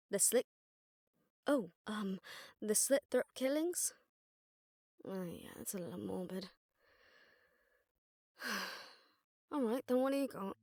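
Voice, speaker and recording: A woman's voice: on the high side, dark tone, dry recording, studio quality, full character voice. A woman playing a jaded, sardonic witch detective who sees visions of death, talking conversationally to a partner in a roleplay audio drama. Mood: playful, brainstorming.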